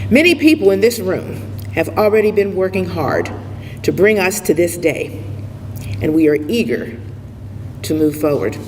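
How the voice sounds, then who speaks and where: A woman delivers a prepared formal address in an echoing hall with slight noise behind her. She phrases things deliberately, in short measured pieces, as an official introducing guests. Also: lowish voice, very animated. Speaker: university official introducing donors. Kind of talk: prepared formal ceremony speech. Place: large room, public event. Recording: echoey hall with background noise.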